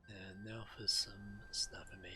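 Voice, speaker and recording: A man with a low-pitched, strikingly forceful and crisp-edged voice reads quest dialogue aloud as a game streamer. He narrates and slips into the characters' lines, with game ambience and noise behind him. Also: level tone, unhurried.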